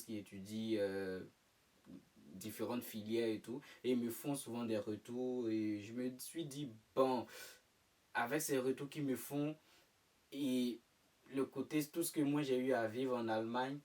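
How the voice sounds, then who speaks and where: Young man, from Côte d'Ivoire, mid-pitched, hesitant, fillers, slightly distant mic. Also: darkish tone. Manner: hesitant, many fillers and restarts. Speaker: interview guest. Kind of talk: casual interview answers.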